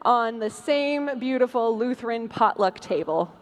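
A woman's voice: a little high, expressive, a little slow. A woman co-hosting a podcast as a live stage show, trading scripted banter in a big reverberant hall. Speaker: podcast co-host. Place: large convention hall stage.

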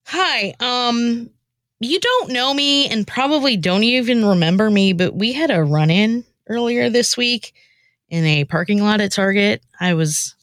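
A woman's voice: medium pitch, no room sound, clean studio sound, natural voice. A woman playing a nervous, repentant woman in an amateur comic radio play, hesitant, slangy and self-deprecating.

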